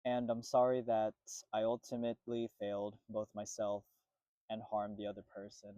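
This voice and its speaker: Earnest low-voiced man, dry sound. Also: monotone, warm tone, dry recording, lo-fi sound. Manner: measured, earnest, repetitive phrasing. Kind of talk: reflective spoken apology monologue.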